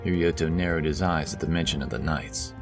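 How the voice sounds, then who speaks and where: A man narrating a fantasy audio story in a steady, low-key way. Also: brisk, over music.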